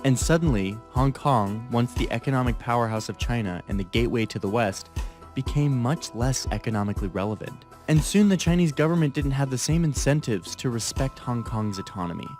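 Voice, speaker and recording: A man narrating a documentary in a measured, explanatory way. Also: mid-range voice, expressive, darkish tone, faint music behind. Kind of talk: scripted narration.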